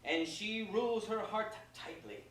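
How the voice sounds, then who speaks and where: A woman's voice: mid-range voice, a little slow, lightly acted. A woman playing an earnest, easily flustered male baker and devoted husband in a staged reading of a verse comedy. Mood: earnest, exasperated. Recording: very echoey hall from far off, noisy.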